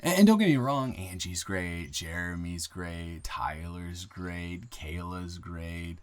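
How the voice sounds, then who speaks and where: A man plays an earnest young guy in his early twenties, smitten and new in town. His voice sits a little low. He talks straight to the listener in an intimate improvised roleplay, rambling and doubling back on his words. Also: animated. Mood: earnest, tender. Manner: halting, rambling, with repeated words and nervous chuckles.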